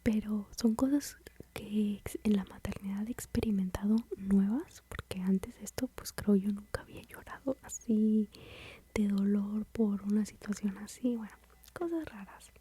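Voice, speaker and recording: A woman with a Mexican accent whispering softly as she tells a personal story about motherhood, in a confiding, rambling way. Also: medium pitch, steady tone, normal speed, slight background noise. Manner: soft, confiding, rambling with fillers.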